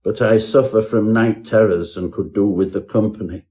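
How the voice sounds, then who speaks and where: Man playing a timid, whining Victorian gentleman. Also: fairly low pitch, steady tone, normal speed, close to an everyday voice. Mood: whining, apologetic. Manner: meek, whining, ornate Victorian phrasing. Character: timid, trembling male athlete-antiquarian, whiny and florid. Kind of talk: parody Victorian ghost story telling.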